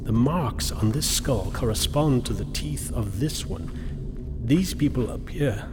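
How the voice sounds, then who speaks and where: A formal, precise doctor played by a man, lecturing with grim fascination in a radio drama. The voice rings in a long cavern reverb, with music clearly underneath. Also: mid-range voice, lively intonation, measured pace, natural voice. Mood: grave. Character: male expedition doctor, analytical, grimly fascinated by bones.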